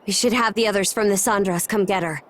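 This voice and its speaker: A woman's voice: mid-range voice, fast talker, a bit bright, slight background noise, lightly acted. An actress playing a resolute, no-nonsense young warrior woman in a video game, speaking in a terse, businesslike way. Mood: serious. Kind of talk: video game cutscene acting.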